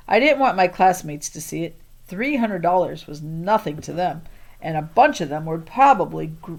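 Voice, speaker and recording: A woman's voice: on the low side, a little mellow. A woman narrating a children's novel in the first person with expression, occasionally stumbling and re-reading words. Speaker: audiobook reader.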